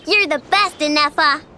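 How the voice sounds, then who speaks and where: A woman voicing an expressive young girl inventor in a video game, blunt and excitable, with grumpy, sleepy edges. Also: high voice, noisy background. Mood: cheerful. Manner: expressive, blunt, childlike speech. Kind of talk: video game character voice acting.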